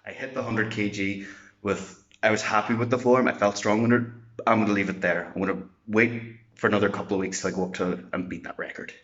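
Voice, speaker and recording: Low-voiced man vlogging a workout. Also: steady tone, a little fast, a bit bright. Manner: boisterous, chatty, swears when hyped. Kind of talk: casual workout vlog. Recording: echoey, dull lo-fi room sound.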